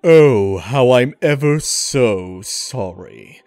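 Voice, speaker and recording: A man voicing a pompous, villainous gentleman frog from a video game, theatrical and grandiose. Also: medium pitch, expressive, no echo. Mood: mocking menace. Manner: theatrical, drawn-out, mock-apologetic.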